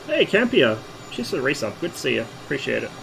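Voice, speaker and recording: A man streaming a flight simulator, explaining how to fly in a relaxed way with hesitant fillers, his voice hoarse from a recent flu. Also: fairly high pitch, quick pace, a little hiss or hum, low-quality recording. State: losing his voice after a flu. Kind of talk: casual flight-sim commentary.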